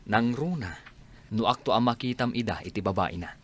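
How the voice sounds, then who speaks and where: A man acts out a practical social worker in an Ilocano radio drama. The voice is on the low side, with an Ilocano accent and an advising, matter-of-fact manner. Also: a little fast, natural voice. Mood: concerned advice.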